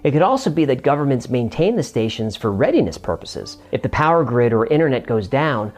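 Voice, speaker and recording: A man's voice: mid-range voice, very animated, brisk, darkish tone, faint music behind. A man hosting a show and narrating in a scripted explainer style.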